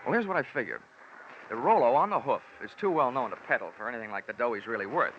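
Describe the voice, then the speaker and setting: An actor playing a wisecracking, confident American insurance investigator in a vintage radio drama, wry and sardonic. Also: male voice, mid-range voice, expressive, fast, close to an everyday voice. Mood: probing. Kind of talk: 1940s-50s radio detective acting and narration. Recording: noisy, muffled old radio recording.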